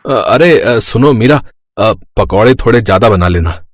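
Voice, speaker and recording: Guy playing mild worried husband in hindi story, hesitant, lowish voice, dry close sound. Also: expressive, moderate pace, no room sound. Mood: hurried. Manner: hesitant, accommodating. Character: mild, worried middle-class husband and deferential younger brother. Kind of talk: scripted Hindi moral-story acting.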